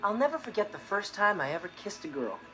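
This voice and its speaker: A man's voice: high-pitched, big pitch swings, fast talker, pushed and bright, exaggerated voice, rough recording. An actor playing a cocky, laid-back teenage boy with an American accent in breezy comedic monologues. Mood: self-assured. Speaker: sitcom lead. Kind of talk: scripted comedic monologue to camera. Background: music.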